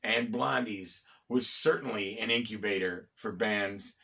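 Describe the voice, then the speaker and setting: A middle-aged American man hosting a conversational, storytelling history talk, phrasing deliberately with pauses and dropping in wry asides. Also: fairly low pitch, creaky, sharp tone. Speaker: host and storyteller of a local history series. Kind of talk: conversational bedtime-story style history narration.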